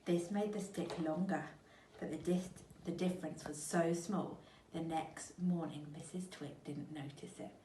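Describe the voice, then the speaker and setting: A woman teacher reading a storybook aloud to children, expressive and speaking straight to them. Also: low voice, unhurried, a little hiss or hum. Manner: expressive, addresses young listeners. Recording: echoey room, mic at a distance.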